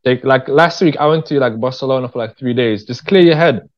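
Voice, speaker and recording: A man's voice: mid-range voice, a little fast. Male, casual interview guest. Candid, slangy, emphatic delivery, mid-range voice, slightly dull lo-fi sound. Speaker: interview guest, screenwriter and director. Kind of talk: casual chat.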